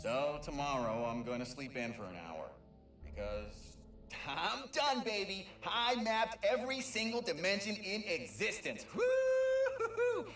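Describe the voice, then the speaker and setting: A man's voice: high-pitched, big pitch swings, unhurried, fairly bright tone, reverberant, recorded from a distance, faint music behind, full character voice. A man voicing a young, eager, nervy male alien explorer in a video game audio log, stammering and rambling self-consciously as he talks. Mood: excited, giddy.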